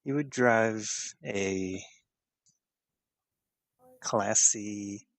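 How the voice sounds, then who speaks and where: A man's voice: fairly low pitch, drawn-out words, gravelly, no echo. A man playing a tabletop game, chatting casually about his character and the scene. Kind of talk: casual chat.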